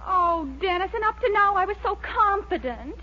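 Fretful young woman, sharp and lively, exasperated, 1940s radio sitcom with dulled sound. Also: extremely high pitch, normal speed, full character voice. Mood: worried. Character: anxious, exasperated young woman, doubtful of her man. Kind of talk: 1940s radio comedy acting. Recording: dull 1940s radio recording.

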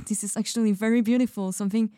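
A woman playing a dreamy, hesitant artist in a radio drama, telling her story in a halting, musing, naturalistic way. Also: mid-range voice, steady tone, dark tone, no room sound, clean studio sound, close to an everyday voice. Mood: wondering, puzzled. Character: Emile, dreamy hesitant female artist from closed community.

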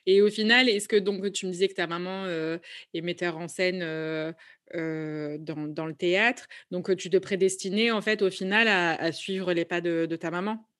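A woman's voice: fairly low pitch, fairly even intonation, moderate pace, fairly bright tone. A woman hosting a podcast, speaking informally and feeling her way through her questions in a conversational interview. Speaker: podcast host interviewing a guest.

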